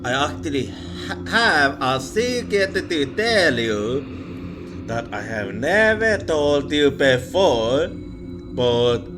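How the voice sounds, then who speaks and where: Very slow, halting male ghost storyteller. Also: fairly high pitch. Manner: halting, hesitant, with long pauses. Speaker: ASMR storyteller. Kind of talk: horror ASMR roleplay, ghost storytelling. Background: music.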